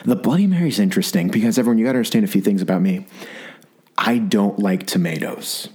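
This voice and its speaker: Low, raspy-voiced man with a sore throat. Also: fast. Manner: rambling, animated storytelling with repeated restarts. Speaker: podcast host. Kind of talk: comedic solo monologue.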